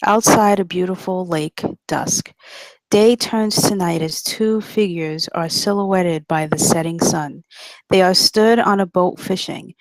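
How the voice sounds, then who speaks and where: A woman's voice: fairly low pitch, no room sound, rough recording. A woman narrator reading stage directions in a scripted table read.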